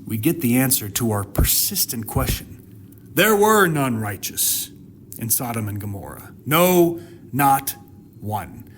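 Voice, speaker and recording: A man delivering a dramatic Bible teaching monologue, emphatic, with rhetorical questions and pauses for effect, over noticeable background noise. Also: mid-range voice, big pitch swings, a bit bright. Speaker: host of a Bible teaching series.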